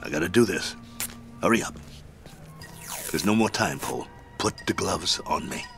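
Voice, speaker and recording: A stubborn, washed-up ex-fighter with a low voice who barks short, clipped orders. He sounds set on his course and won't be talked out of it. A dramatic music score runs behind this radio play. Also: animated, moderate pace, creaky, fairly bright tone, exaggerated voice. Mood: grim resolve. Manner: terse, commanding, clipped orders. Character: stubborn, determined middle-aged male ex-boxer.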